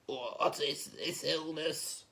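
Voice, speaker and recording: A man's voice: on the high side, fairly even intonation, very slow, gravelly, pushed and bright, voice pushed down, heavily acted. A man voicing a stern, bossy old king, an uncle in a children's storybook. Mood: croaky, weak.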